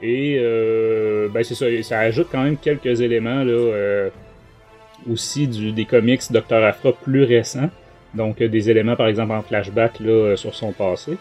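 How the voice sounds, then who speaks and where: A man's voice: medium pitch, fairly even intonation, a little slow, a little mellow, faint music behind. A man with a Quebec French accent hosting a casual book talk podcast, speaking in hesitant, broken-up phrases. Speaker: podcast host.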